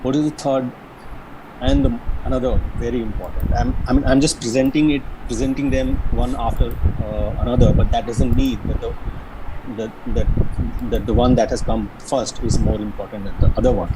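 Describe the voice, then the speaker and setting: A man's voice: mid-range voice, fairly even intonation, normal speed, background noise. A man from Jharkhand with a Bihari-Jharkhand Indian English accent, giving an earnest, explanatory online lecture on interview skills, with frequent restarts and repetitions. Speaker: career guidance speaker.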